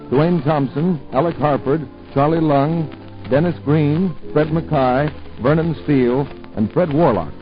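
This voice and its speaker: A man announcing a 1940s radio commercial, smooth, persuasive and emphatic. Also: on the high side. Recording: muffled 1940s radio broadcast with music.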